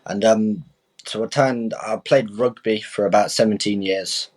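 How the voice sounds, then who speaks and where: A man's voice: on the low side, steady tone, a little slow, darkish tone, no room sound. A young man speaking as a guest boxer in a podcast interview, talking openly and frankly, often starting with an honest aside. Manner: open, frank, chatty, restarts with "I'll be honest".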